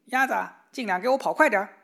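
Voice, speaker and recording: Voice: mid-range voice, quick pace, a bit bright, pitched above the performer's own voice, natural voice. A storyteller voicing a proud, bossy rooster in a children's fairy tale. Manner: indignant, boastful.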